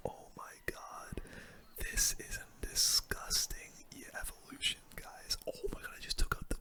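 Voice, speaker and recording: A man giving casual, chatty ASMR gameplay commentary in a breathy, unvoiced whisper, talking to viewers as a gaming video host.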